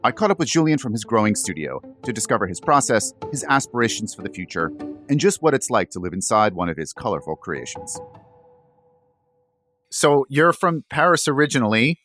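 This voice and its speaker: A man's voice: mid-range voice, big pitch swings, a little fast, a bit bright, soft background music. A man hosting a podcast, moving between interviews and scripted narration in a polished, wryly phrased way. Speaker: podcast host.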